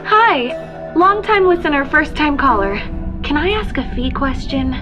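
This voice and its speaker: Eager, high-voiced female caller. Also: lively intonation, unhurried. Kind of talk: audio drama acting. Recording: dull phone-call sound over music.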